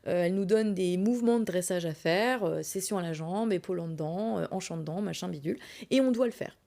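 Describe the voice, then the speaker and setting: A woman hosting a podcast, telling a personal story in a casual, colloquial, animated and self-deprecating way. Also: lowish voice, brisk, creaky. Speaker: podcast host.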